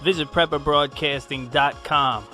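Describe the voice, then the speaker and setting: Forceful, slow-paced male announcer. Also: on the high side, fairly even intonation, soft background music. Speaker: promo announcer. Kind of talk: scripted network promo.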